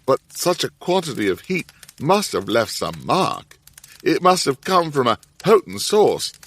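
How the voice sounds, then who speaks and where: A thoughtful Victorian doctor with a mid-pitched, expressively varied voice. He is measured, dry and reflective, like someone narrating his own memoir in an audio drama. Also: very animated, fairly bright tone, heavily acted. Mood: curious, probing. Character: thoughtful, self-doubting Victorian doctor, the detective's companion. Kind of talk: first-person narration and audio drama dialogue.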